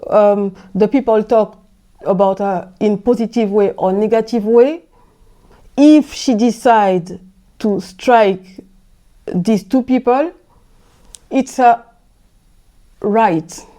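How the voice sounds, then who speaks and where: A woman's voice: mid-range voice, slow, long pauses, mellow. A woman from France with a French accent, chatting as a podcast guest in a hesitant, restarting way. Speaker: guest, host's wife. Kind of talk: casual chat.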